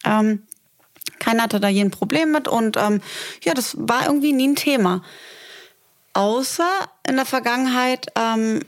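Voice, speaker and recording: A woman's voice: fairly high pitch, normal speed. A woman in her thirties co-hosting a podcast, chatting frankly and casually and telling stories with asides to the listeners. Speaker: co-host of a couples' podcast.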